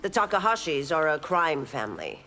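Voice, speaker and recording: A woman's voice: sits low, huge pitch swings, moderate pace, pushed and bright, soft background music, heavily acted. A woman playing a tough chief constable in a fighting game, firm, curt and no-nonsense. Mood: stern. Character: stern, dutiful female chief constable, tough law enforcer. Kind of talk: fighting game dialogue acting.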